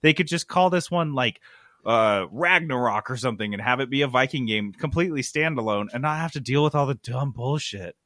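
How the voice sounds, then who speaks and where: Man, bright forceful voice, dry close sound, casual gaming chat, excitable, sweary, podcast host. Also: mid-range voice, brisk, no echo.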